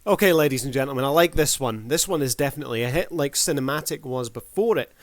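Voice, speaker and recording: A man's voice: medium pitch, animated, quick pace, no echo. A man hosting a review video, giving casual commentary in a showman-like manner with formal addresses to the audience.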